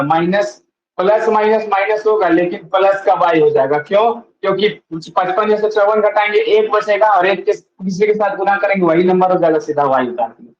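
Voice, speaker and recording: A man's voice: high voice, roomy sound, lo-fi sound. A male maths teacher explaining a lesson step by step, asking questions and answering them himself and repeating key points. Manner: asks and answers his own questions, repeats steps.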